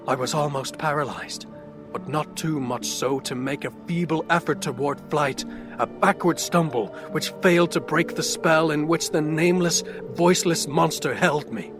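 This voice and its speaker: A man's voice: a little high, natural voice. A man playing a tormented, reclusive outcast in a horror audio drama, narrating in an ornate, theatrical and intense manner. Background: dark fantasy ambience music.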